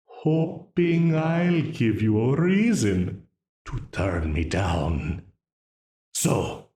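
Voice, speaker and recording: Menacing demon voiced by a man for an audio drama: mocking, condescending, slightly low voice, unhurried. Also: a little mellow, echoey room, full character voice. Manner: mocking, condescending, laughs often. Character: smug ancient male demon dealmaker, menacing. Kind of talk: ASMR audio roleplay.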